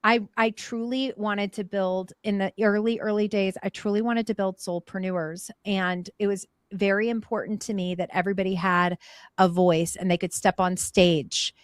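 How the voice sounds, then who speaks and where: A woman's voice: medium pitch, fairly even intonation, moderate pace, no room sound, studio quality. A woman talking as a guest in a candid conversation, earnest and reflective, often restarting and repeating her words.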